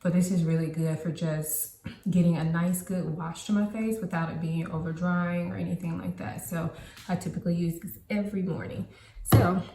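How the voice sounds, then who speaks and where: A woman in her late thirties chatting casually to the camera as a beauty vlogger, explaining in a relaxed way with frequent ums and likes. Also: on the low side, slight background noise. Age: 30s. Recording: echoey room.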